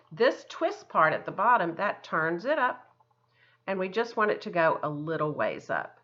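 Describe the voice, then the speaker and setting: Bright-voiced woman teaching children art. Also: mid-range voice, lo-fi sound. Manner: encouraging, simple instructions, thinking aloud. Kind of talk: step-by-step craft lesson for children.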